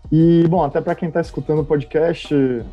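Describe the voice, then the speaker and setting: A man's voice: a little high, steady tone, normal speed, mellow, muffled recording, soft background music. A man from Bahia with a Bahian Portuguese accent, a podcast guest talking through his career. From Salvador, Bahia, Brazil. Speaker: guest, startup co-founder. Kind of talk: podcast interview.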